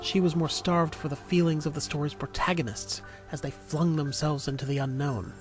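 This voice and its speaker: A man's voice: fairly high pitch, fairly even intonation, moderate pace. A man reading scripted narration for an audio drama in a storytelling style. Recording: music under the voice. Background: music.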